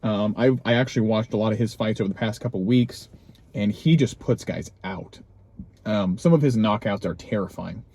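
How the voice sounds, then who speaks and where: Young male vlog host, low and mellow, loose rambling chat recorded outdoors. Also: moderate pace. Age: young adult. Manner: rambling, many ums and restarts. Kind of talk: casual chat. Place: outdoors in snowy cold.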